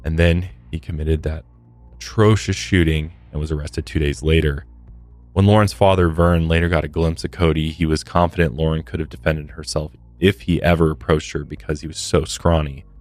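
Very low male true crime narrator. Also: steady tone, moderate pace, darkish tone, no room sound. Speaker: podcast co-host. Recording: dry close studio sound, music underneath. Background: background music.

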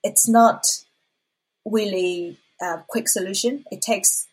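A woman from Korea with a Korean accent, whose first language is Korean, answering interview questions as a freelance designer, halting with many fillers and restarts. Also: mid-range voice, steady tone, breathy edge, poor audio.

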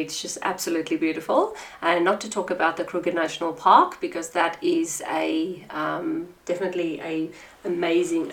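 A woman in her thirties with a South African accent, hosting a podcast in a chatty, conversational way with frequent fillers. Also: on the low side. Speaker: podcast host. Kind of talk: casual podcast monologue.

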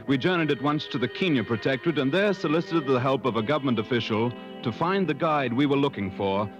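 An actor playing an earnest, insistent English gentleman in a 1950s radio drama, polite but persistent. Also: medium pitch, lively intonation, quick pace, over music, lightly acted. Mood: measured narration. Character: earnest, insistent English gentleman seeking a lost brother-in-law. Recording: muffled old radio broadcast.

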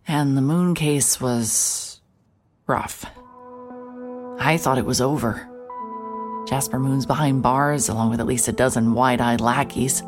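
A woman playing a hard-hearted, sarcastic private investigator with a deadpan delivery in a mystery audio drama. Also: a bass voice, measured pace, long pauses, music in the background. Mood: wry, brooding. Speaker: lead actor in an audio drama. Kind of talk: cinematic audio drama acting, noir narration.

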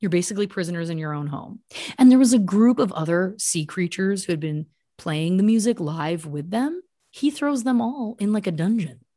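A woman's voice: medium pitch, lively intonation, moderate pace, dark tone, no room sound, clean studio sound. A woman hosting a podcast, retelling a story as mock-serious true crime in an emphatic, conversational way with asides. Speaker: podcast host telling a story.

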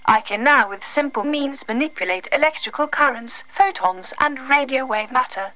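A woman playing a condescending, calmly menacing artificial intelligence delivering a formal, deadpan letter as an audio drama monologue. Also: high voice, normal speed, rough recording. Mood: detached, superior. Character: superintelligent AI, female, condescending, calmly menacing, detached.

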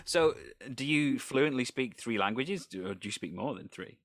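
A man's voice: medium pitch, lively intonation, normal speed, no room sound. A man hosting a casual interview in an encouraging, jokey way. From Wales. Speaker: interview host.

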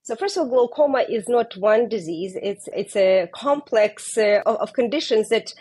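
A middle-aged woman with a Ukrainian accent, an eye doctor explaining carefully in an interview, pausing often to find her words. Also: fairly high pitch, expressive, a little mellow, slightly rough. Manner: careful, explanatory, with frequent hesitations. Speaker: ophthalmologist guest.

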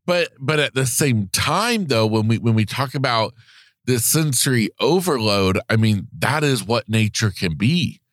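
A man's voice: mid-range voice, lively intonation, unhurried, no echo. A man hosting a podcast interview, asking questions in a thoughtful way. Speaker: podcast host. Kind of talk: interview conversation.

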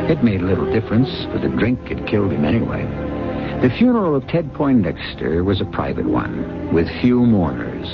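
A man's voice: on the low side, vocal fry. A man narrating as the host of a radio mystery drama, in a theatrical, wry, scripted style. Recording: muffled vintage radio with loud music. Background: music.

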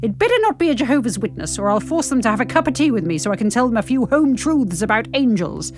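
A cantankerous older woman narrates her life with wry impatience, acted by a woman reading aloud. The voice sits fairly high and moves expressively, over faint music. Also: lively intonation, fast, a little mellow, natural voice. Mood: grumpy, sardonic. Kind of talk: first-person story reading.

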